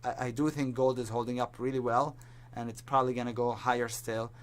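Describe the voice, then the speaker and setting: A man giving market commentary whose voice is strained from teaching, talking in a halting way with many restarts. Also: medium pitch, flat delivery. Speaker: market analyst.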